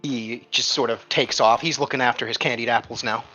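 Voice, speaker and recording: Game master, male, medium pitch, bright tone, improvised tabletop narration with frequent rewording. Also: fairly even intonation, fairly quick, lo-fi sound, soft background music. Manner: thinks aloud, rephrasing as he describes.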